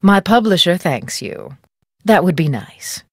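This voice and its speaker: Haughty, theatrical lady novelist, swooping pitch. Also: very animated, a little slow, husky, no room sound, studio quality, exaggerated voice. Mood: self-assured, arch. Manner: theatrical, condescending, arch. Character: haughty, gossipy middle-aged female mystery novelist. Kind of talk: video game character acting.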